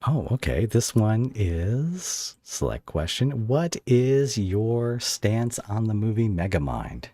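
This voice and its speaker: A man's voice: on the low side, big pitch swings, slow pace, dark tone, dry recording. A man hosting a casual interview podcast, chatting and teasing his guest in a bantering way.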